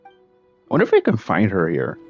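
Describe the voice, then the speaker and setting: A woman's voice: rumbling low, huge pitch swings, a little fast, slightly rough. A woman giving casual commentary while streaming a game playthrough. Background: game music.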